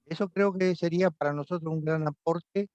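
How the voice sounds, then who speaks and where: A man's voice: on the high side, steady tone, a little fast, a little mellow, dry recording. A man with an Argentine accent, instructor of an online course, lecturing with many hesitations and fillers. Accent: Argentine Spanish. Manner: hesitant, many fillers, recommending and inviting students. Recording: dry, dull video-call audio.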